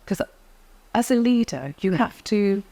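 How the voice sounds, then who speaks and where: A middle-aged woman, a guest in an interview, speaking in a quiet, measured way as she gives advice. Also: medium pitch, expressive. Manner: soft-spoken, measured, advice-giving. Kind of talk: interview conversation.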